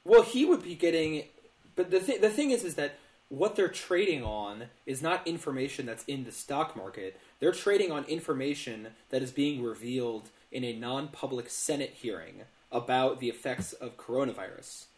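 A man co-hosting a podcast, explaining things casually in a halting but emphatic way, with stammered repeats. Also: medium pitch, expressive, a little mellow. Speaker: podcast co-host. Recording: roomy, echoey sound.

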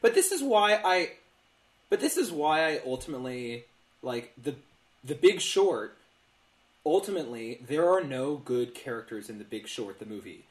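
A man recorded in a roomy, echoey space talks as a podcast co-host. His pitch is middling, and he explains casually, stammering over repeats and then landing hard on his points. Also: big pitch swings, normal speed.

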